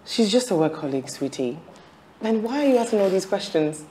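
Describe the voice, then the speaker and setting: An actress playing a defiant, calculating wife and mother in a screen drama, arguing with a sharp tongue. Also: mid-range voice, sing-song, brisk, slight background noise, close to an everyday voice. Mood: evasive, defensive.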